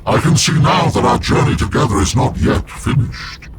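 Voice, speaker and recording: An actor playing an ancient warrior-psyker sealed in a war machine, speaking formally and solemnly as the game's narrator. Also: male voice, medium pitch, expressive, normal speed, heavy background noise, close to an everyday voice. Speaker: librarian, narrator. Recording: with loud game music behind.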